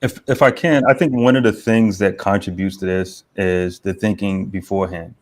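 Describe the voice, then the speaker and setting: Measured man explaining step by step. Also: deep voice, fairly even intonation. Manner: measured, explanatory, frequent "right?". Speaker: podcast guest. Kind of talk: reflective podcast talk.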